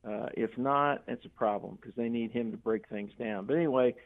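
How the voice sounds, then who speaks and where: A man's voice: medium pitch, moderate pace. Older male caller on a thin phone line. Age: 60s or older. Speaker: caller to sports radio show. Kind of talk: casual sports opinion. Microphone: telephone.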